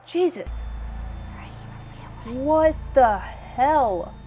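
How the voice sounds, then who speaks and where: A woman's voice: sits high, expressive, very slow, dark tone, a little hiss or hum. A woman hosting a video game playthrough, reading the narration aloud and commenting in a casual, reactive, musing way. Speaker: gaming video host.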